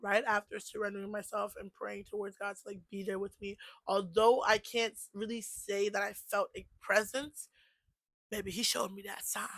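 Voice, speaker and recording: A young woman in her twenties telling a personal story in a casual vlog, animated and chatty, full of "like" fillers and laughing asides. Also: mid-range voice, no room sound. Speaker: vlogger.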